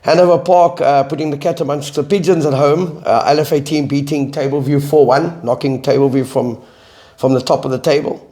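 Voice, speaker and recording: Croaky-voiced South African man. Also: fairly high pitch. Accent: South African English. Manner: reads results in a measured, steady way. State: croaky voice from flu. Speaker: co-host, football coach. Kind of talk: casual sports chat.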